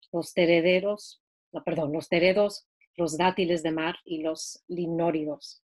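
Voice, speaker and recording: Brief: woman, lowish voice, steady measured reading from her book, home video call.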